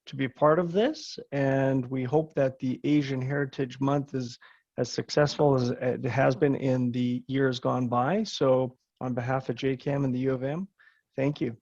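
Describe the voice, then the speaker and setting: A man's voice: mid-range voice, fairly even intonation, measured pace, a little mellow, no room sound. A man speaking politely as an association president giving greetings on a video call. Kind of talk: short formal greeting speech. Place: remote online video call. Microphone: video call microphone. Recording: dull video call audio.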